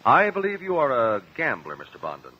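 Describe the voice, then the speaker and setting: A composed, persistent lawyer in an old-time radio courtroom drama. His questioning is measured but moves expressively up and down in pitch. The recording is dull, with some noise under it. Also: huge pitch swings. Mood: probing. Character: composed, probing attorney questioning witnesses.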